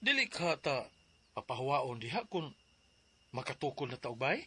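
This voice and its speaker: An actor playing a calm, practical young husband in a radio drama. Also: male voice, mid-range voice, normal speed. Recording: noisy recording.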